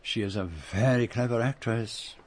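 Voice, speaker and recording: An actor playing a courteous, shrewd Belgian detective with a French-speaking Belgian accent, formal and precise in a radio drama. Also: male voice, mid-range voice, natural voice. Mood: measured, thoughtful. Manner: measured, formal, precise phrasing. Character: courteous, shrewd Belgian private detective, middle-aged or older.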